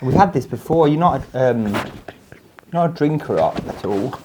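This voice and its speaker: A man acting a council utilities officer in a prank call, in a middle-pitched voice. He is polite, matter-of-fact and officious, hesitating as he explains procedures. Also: lively intonation, normal speed, a little mellow, a little hiss or hum, lightly acted, rough recording. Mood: politely cheeky.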